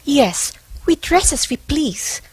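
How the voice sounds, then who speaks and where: A woman's voice: high voice, big pitch swings, slow, no echo, heavily acted. A woman playing a devout, plaintive nun in a stage play. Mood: devout, regretful. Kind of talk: amateur stage play acting.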